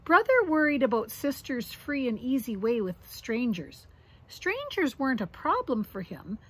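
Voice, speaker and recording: Middle-aged woman, high-pitched but husky, animated storybook reading, talking to small children. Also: unhurried, poor audio. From Canada. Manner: expressive, addressing young children. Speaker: grandmother reading to grandchildren. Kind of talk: reading a children's picture book aloud, with asides. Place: outdoors on a deck or yard among trees.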